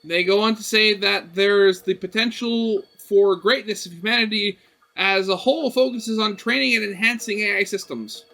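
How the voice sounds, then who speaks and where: Male game narrator, bright forceful tone, expressive storytelling with informal asides, faint music bed. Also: high voice, normal speed. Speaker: gaming video host reading a visual novel aloud. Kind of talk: dramatic story narration with casual commentary.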